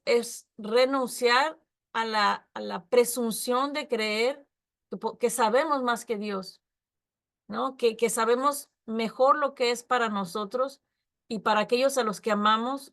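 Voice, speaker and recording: A woman speaking Spanish as a second language gives a reflective spiritual talk, slightly high-pitched, stopping to restart her phrases and checking in with her listeners. Her delivery is calm and searching. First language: not Spanish. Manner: thoughtful, many pauses and restarts, frequent tag questions. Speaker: study group speaker.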